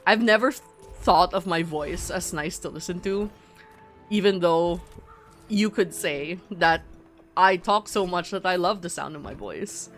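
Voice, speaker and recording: A woman's voice: fairly low pitch, a little slow. A woman with a middle-class Filipino English accent chats casually and playfully to viewers as she streams a game, often interrupting herself. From Philippines. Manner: talkative, self-interrupting, playful. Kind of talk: casual chat to viewers while gaming. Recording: music playing behind the voice. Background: game music.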